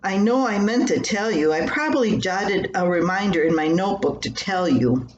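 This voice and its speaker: A woman's voice: medium pitch, lively intonation, moderate pace, highs cut off, close to an everyday voice. An older woman voicing a tired, absent-minded farmer husband in a matter-of-fact, distracted way, as in a homespun story. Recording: roomy echo with faint background noise.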